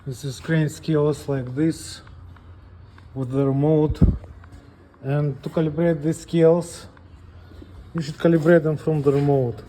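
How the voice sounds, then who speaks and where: Slow, dark-voiced man giving a technical tutorial. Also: fairly high pitch, slight background noise. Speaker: presenter of a scale calibration tutorial. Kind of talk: unscripted step-by-step technical demonstration.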